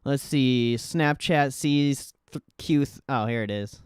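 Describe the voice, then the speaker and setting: A man's voice: mid-range voice, slow, smooth, no echo, clean studio sound. A man hosting a livestream, giving casual market commentary in informal, slangy, sweary speech. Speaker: livestream host.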